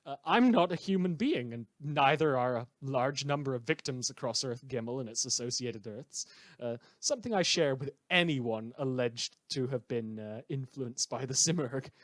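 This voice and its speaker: A man playing a bitter, unhinged radio host in a fan audio drama, rambling sarcastically with halting stammers. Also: mid-range voice, expressive, no room sound, natural voice. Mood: wry, rambling. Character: bitter, unhinged male radio host, sardonic renegade cape.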